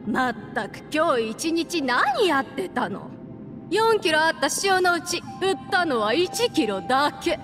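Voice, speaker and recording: A woman playing an exasperated, sharp-tongued shopkeeper woman who scolds, in a video game. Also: high voice, very animated, measured pace, music in the background. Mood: exasperated, scolding.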